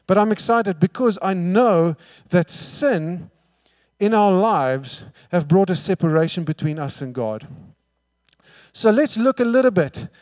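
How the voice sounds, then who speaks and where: Guy preaching, kinda high voice, dry sound, lots of rhetorical questions, gets quiet then loud. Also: animated, a little slow, no room sound. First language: Afrikaans. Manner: emphatic, rhetorical questions, swings from hushed to loud. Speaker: pastor. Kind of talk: sermon.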